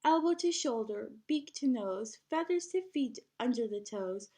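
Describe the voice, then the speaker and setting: A woman reading a children's picture book aloud in an animated teacherly way, guiding young listeners with questions and prompts. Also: a little high, normal speed.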